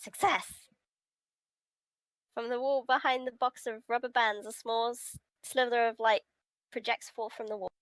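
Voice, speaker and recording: A woman's voice: on the high side, flat delivery, measured pace, long pauses, dry recording, low-quality recording. A woman streamer reading a visual novel aloud, giggly and breaking from the script with casual reactions. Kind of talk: live stream read-aloud with casual asides.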